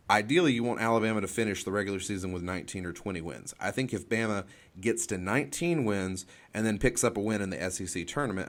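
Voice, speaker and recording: A man giving off-the-cuff sports analysis in an explanatory way, often restarting and repeating his phrases. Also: lowish voice. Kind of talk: off-the-cuff college basketball analysis.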